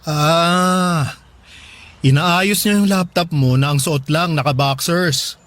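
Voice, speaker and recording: A man's voice: on the high side, slow, a bit bright. A male actor playing an earnest husband and father in a radio drama. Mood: suspicious, angry. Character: earnest husband and father, trusting, then suspicious.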